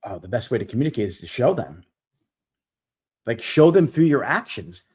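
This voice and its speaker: A man's voice: mid-range voice, sing-song, moderate pace, darkish tone, breathy edge. A man in his forties hosting a live stream Q&A, giving business coaching in a direct, emphatic way that repeats key points. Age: 40s. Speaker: host.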